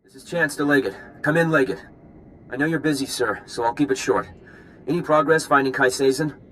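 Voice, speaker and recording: An actor playing a dutiful intelligence field officer in a video game, speaking in a crisp, respectful, earnest way. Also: male voice, mid-range voice, brisk, bright, forceful tone, slight background noise, close to an everyday voice, low-quality recording. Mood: brisk, dutiful.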